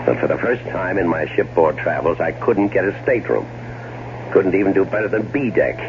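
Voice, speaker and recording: A man acting in a 1970s American radio drama as a fussy, nervous middle-aged gentleman traveler who also narrates. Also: low-pitched, lively intonation, brisk. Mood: wry, brooding. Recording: dull 1970s radio recording, loud noise.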